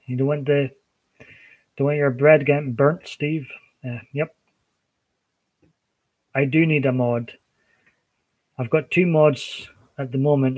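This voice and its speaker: A Scottish man chatting easily on a livestream, reading out viewers' comments and replying in a relaxed, rambling way. His pitch sits in an ordinary middle range. He often trails off and restarts his sentences. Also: phone-quality sound. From Scotland. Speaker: livestream host.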